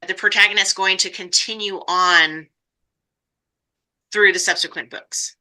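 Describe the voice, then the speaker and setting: A woman with a strikingly bright, forward voice and mid-range pitch conducts an interview as a podcast host. Her questions come in a conversational style. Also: fairly even intonation, unhurried, poor audio.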